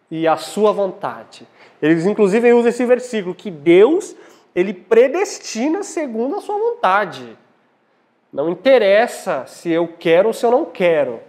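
High-pitched young Brazilian man preaching. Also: a little mellow. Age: young adult. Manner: didactic, pausing to quiz listeners with questions. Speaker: preacher giving a lecture at a church congress. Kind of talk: Bible teaching sermon with scripture reading. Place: small indoor church hall at a lectern. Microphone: lapel.

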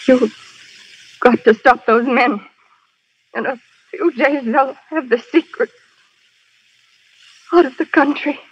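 A woman's voice: on the high side, husky. An actress playing a frightened, secretive woman spy in a 1930s radio drama, speaking urgently. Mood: dying, desperate. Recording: dull old radio broadcast.

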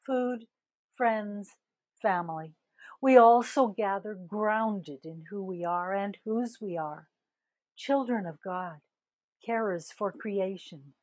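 Older woman minister, husky, measured sermon delivery, dry close sound. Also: medium pitch, a little mellow, no room sound. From Canada. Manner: slow, deliberate preaching with emphatic rises on key words.

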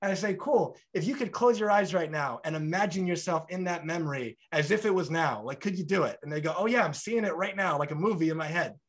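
A man's voice: on the high side, fast talker, few pauses. A man in his thirties hosting a podcast over a video call, talking animatedly and acting out little back-and-forth dialogues. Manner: animated, gestures broadly, acts out both sides of dialogues. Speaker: podcast host. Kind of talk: conversational interview. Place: bright home room.

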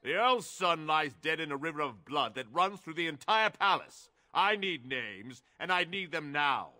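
An actor playing a stern, authoritative older captain of the city guard in a fantasy game. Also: male voice, a little high, lively intonation, normal speed, pushed and bright. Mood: angry, commanding. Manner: authoritative, curt, commanding.

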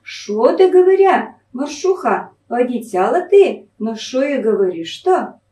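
A woman's voice: high-pitched, big pitch swings, a little slow, warm tone. A woman with a Northern Russian Pinega dialect voicing haughty, mocking young peasant sisters in a folk tale. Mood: scornful. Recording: echoey room, distant microphone.

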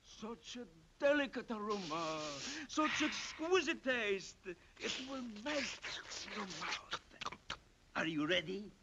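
A man voicing a theatrical cartoon gourmet, savoring every word of a recipe in a drawn-out delivery. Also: high-pitched, sing-song, noisy background, full character voice. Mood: savoring, enticing. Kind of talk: cartoon character acting. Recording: dull, lo-fi cartoon audio.

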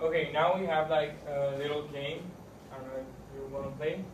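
Teen boy chatting thru a class project, monotone, far away, noisy room. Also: on the high side, fairly quick, echoey room. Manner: casual, uses filler "like". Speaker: student presenter. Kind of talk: class presentation. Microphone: far camera.

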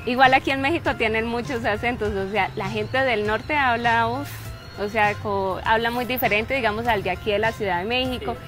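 A young woman from Santander, Colombia, with a Santander accent, telling stories in an outdoor street interview with a forceful, emphatic way of talking. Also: fairly high pitch, normal speed, over music. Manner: forceful, emphatic delivery with frequent "¿sí?" tags. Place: outdoor park with trees.

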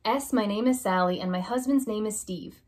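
A young woman reading a children's alphabet picture book aloud, slow and deliberate, stressing each opening letter, in a small room. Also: medium pitch, darkish tone. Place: small room indoors by a curtained window.

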